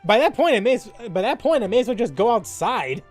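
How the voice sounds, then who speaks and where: A man's voice: very high-pitched, big pitch swings, fast talker, soft background music. A man streaming in a casual, rambling and informal way, reading things aloud and commenting on them. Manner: informal, rambling, mildly profane. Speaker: gaming streamer. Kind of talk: casual stream chat, reading quiz questions aloud and reacting.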